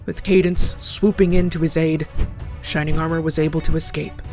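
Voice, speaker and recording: A woman's voice: deep voice, fairly even intonation, normal speed, a little mellow. A woman narrating a scripted horror story as a storyteller. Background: clear background music.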